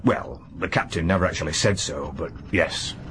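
An actor playing a loyal, deferential manservant who speaks in homely phrases in a radio drama. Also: male voice, low-pitched, moderate pace, a bit bright. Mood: respectful. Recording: archive radio drama with light hiss.

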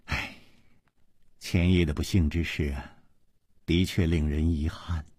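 A man voicing a frail, graceful noblewoman in an audiobook, speaking gently and courteously with sighs. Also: a bass voice, takes it slowly, slightly rough, no echo, studio quality, voice pushed down, heavily acted. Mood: gentle. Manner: gentle, sighing, polite.

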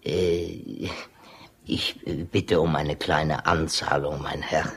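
An actor in a German radio drama playing a sly, servile slum landlord, husky and hesitant, obsequiously humming and halting. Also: male voice, extremely low pitch, steady tone, a bit bright, heavily acted. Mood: servile, haggling.

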